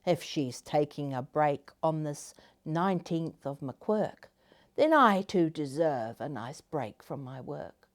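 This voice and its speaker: A woman's voice: low-pitched, lively intonation, slow pace. A woman voicing a lazy, whiny male caterpillar in a children's storybook, fussy and exclamatory. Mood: self-justifying.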